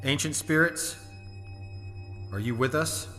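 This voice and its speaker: A man plays a young occult ringleader in a horror audio drama, solemn and portentous. His voice is bright and intense, declaiming slowly as if summoning spirits. It rings out in a long reverb over music. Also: fairly high pitch. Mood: intent, grave. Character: young man Chris, solemn seance leader calling spirits. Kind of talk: dramatic chanting, incantation.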